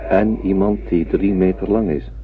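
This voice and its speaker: Male actor as ancient gentle alien telepath, deep, measured, solemn, radio drama, thin band-limited recording with faint noise. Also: fairly even intonation, phone-quality sound, close to an everyday voice. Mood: calm, eerie. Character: huge old telepathic alien, three metres tall, gentle and wise.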